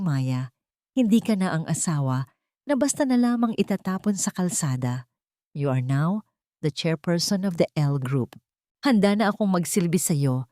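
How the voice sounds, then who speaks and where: Steady, loyal gentleman lawyer for an audio drama, read by a woman, low, earnest, formal, dry recording. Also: dry recording. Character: calm devoted male lawyer, steady loyal ally. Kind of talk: audio story character reading.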